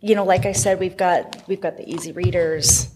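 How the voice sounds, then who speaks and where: A woman's voice: on the low side, steady tone, moderate pace, warm tone, slight background noise. A woman guest explaining library items in a casual, enthusiastic interview style.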